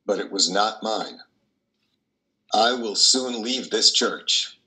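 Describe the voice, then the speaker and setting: A man's voice: on the low side, bright, forceful tone, full character voice, poor audio. An older man playing a compassionate, anguished priest, earnest and pleading, in a stage play reading. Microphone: video call.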